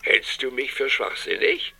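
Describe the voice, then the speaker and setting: An irascible, self-important professor for an audio drama. His voice is sharp and forceful with a creaky grain. He lectures pompously and snaps at whoever is listening. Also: medium pitch, wildly swooping pitch, measured pace, heavily acted. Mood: irritated, scornful. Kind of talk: German audio drama acting.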